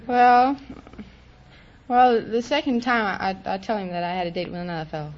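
A young woman with a foreign accent chats casually as a quiz contestant. English is not her first language. Her medium-pitched voice sits in a muffled, noisy old radio recording. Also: steady tone, a little fast. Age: young adult. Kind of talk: casual answers to a host.

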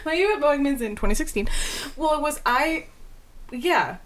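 Giggly woman with a lively voice. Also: high voice, slow pace. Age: 20s. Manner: giggly, rambling with many restarts. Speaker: podcast co-host. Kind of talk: casual chat.

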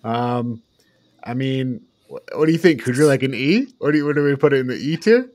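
A man's voice: mid-range voice, lively intonation, brisk, a little mellow, dry recording, studio quality. Blunt man chatting on a podcast. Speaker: podcast co-host. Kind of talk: casual chat, film review banter.

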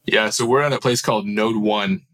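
A man's voice: on the low side, brisk, fairly bright tone, no room sound. A man telling personal stories casually and earnestly as an interview guest. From born in Southern California, grew up in Turkey. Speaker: podcast guest, aerospace founder.

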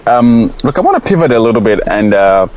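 A man hosting a conversational interview, feeling his way haltingly into each question. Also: medium pitch, sing-song, a little mellow, poor audio. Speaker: podcast host, interviewer.

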